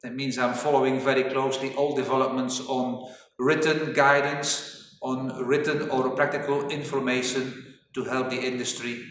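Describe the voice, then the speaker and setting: A middle-aged man with a Flemish Belgian accent delivers a corporate training introduction at an ordinary male pitch. He explains deliberately, leaning on the openings of his phrases. The room rings with heavy echo, and he sounds set back from the microphone. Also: flat delivery, a bit bright, slight background noise. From Belgium (Flanders). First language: Flemish Dutch. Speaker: webinar presenter, safety engineering trainer. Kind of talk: corporate webinar presentation. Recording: very echoey reverberant room, distant mic.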